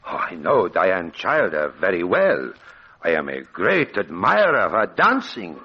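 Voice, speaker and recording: Pompous, slow-talking husky showman. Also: low voice, huge pitch swings, exaggerated voice. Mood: haughty. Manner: theatrical, self-important. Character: pompous, indignant, sinister male master puppeteer. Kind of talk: 1940s radio melodrama acting. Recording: muffled old radio drama recording.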